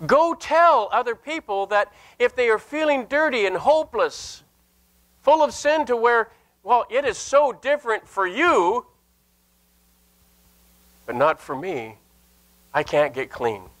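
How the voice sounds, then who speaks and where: An older man, a Lutheran pastor, preaching a sermon in an earnest, emphatic manner with deliberate pauses and repeated phrases. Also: high voice, lively intonation, a bit bright. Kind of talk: sermon with scripture and anecdote. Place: indoor church sanctuary at a lectern.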